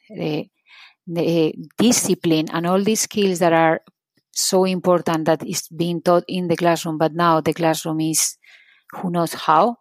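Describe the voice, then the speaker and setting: A woman's voice: lowish voice, a little mellow, no room sound. A middle-aged woman from Spain with a Spanish accent, a company CEO answering interview questions earnestly and restarting phrases often.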